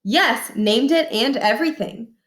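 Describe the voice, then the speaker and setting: A woman's voice: high voice, unhurried, fairly bright tone, echoey room, pitched above the performer's own voice. A woman voicing a polite, chatty, long-suffering wife and mother in a children's storybook, genteel and faintly exasperated.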